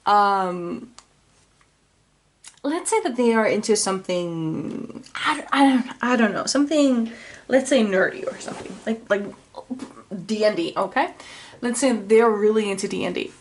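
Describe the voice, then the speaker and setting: A woman's voice: mid-range voice, expressive, unhurried. A woman with a Finnish accent, a native Finnish speaker, giving a casual tarot reading to camera. She rambles, corrects herself and keeps apologizing. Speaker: tarot reader.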